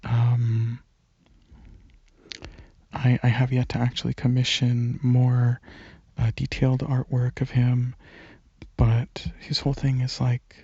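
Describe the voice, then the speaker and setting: Slow, soft-spoken young man, relaxed ASMR ramble. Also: medium pitch, breathy edge, no room sound, muffled recording. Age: young adult. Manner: soft-spoken, hesitant, drifting into near-whispers. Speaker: ASMR channel creator.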